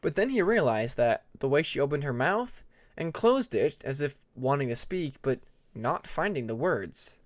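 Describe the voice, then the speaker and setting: Audiobook narrator, medium pitch, animated intonation, scripted fantasy storytelling. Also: moderate pace, no echo, studio quality.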